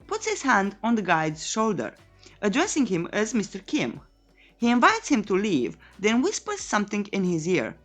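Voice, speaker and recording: Female recap narrator, cheeky storyteller, mid-range pitch with lively swings, faint music underneath. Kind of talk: scripted comic recap narration.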